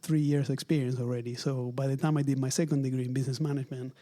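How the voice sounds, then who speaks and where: A man in his forties from Argentina, speaking English with an Argentine Spanish accent, answering interview questions in a measured, thoughtful way with frequent fillers. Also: mid-range voice, flat delivery, warm tone. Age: 40s. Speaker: retail executive guest. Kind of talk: interview conversation. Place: office at company headquarters.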